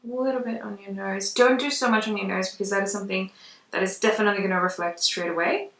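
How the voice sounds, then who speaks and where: A woman's voice: mid-range voice, steady tone, fast, a bit bright. A woman giving a casual makeup tutorial in a chatty, conversational way. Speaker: makeup vlogger. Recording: roomy echo, distant mic.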